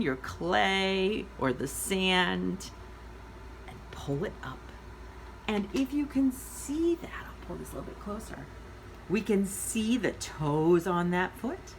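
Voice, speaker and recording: Female children's educator, unhurried and very lively in intonation, simple encouraging instructions for little listeners, faint noise behind. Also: medium pitch, low-quality recording. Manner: simple encouraging phrasing with questions to young listeners. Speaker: children's story time host and educator. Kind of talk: reading and activity instruction for young children.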